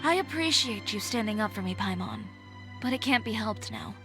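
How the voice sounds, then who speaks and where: An actress playing a proud, cool-headed fashion designer who speaks in a curt, self-assured way. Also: female voice, medium pitch, expressive, normal speed, loud music behind the voice, lightly acted. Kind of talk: video game voice acting.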